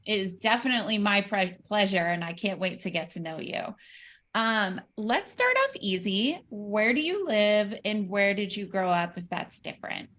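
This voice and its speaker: A woman's voice: mid-range voice, sing-song, moderate pace, a bit bright. A woman hosting an interview, upbeat and effusive as she asks her questions. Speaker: interview host.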